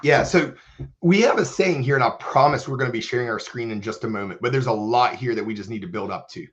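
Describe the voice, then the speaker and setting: A man with a hoarse voice, recovering from illness, earnestly presenting in a church webinar. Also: medium pitch, fast talker, a bit bright. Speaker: church outreach manager. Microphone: video call. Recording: dull lo-fi webinar audio.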